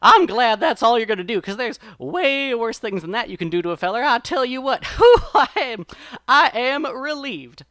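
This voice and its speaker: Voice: mid-range voice, sing-song, moderate pace, a bit bright, no room sound, studio quality, pitched above the performer's own voice. A storyteller voicing a cunning rabbit in a folksy, exaggerated manner, feigning feelings in a spoken folktale. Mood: mock relief. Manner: folksy, drawling, exaggerated pleading and relief. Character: cunning rabbit trickster, feigning relief and dread.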